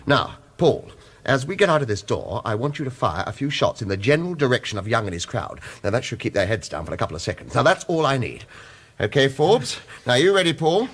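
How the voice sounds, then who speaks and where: A man's voice: medium pitch, big pitch swings, brisk, a bit bright, husky, heavily acted. A man playing a hard-boiled, commanding gunman hero in a radio thriller, with clipped orders and wry narration. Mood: urgent, commanding. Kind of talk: radio thriller acting with first-person narration.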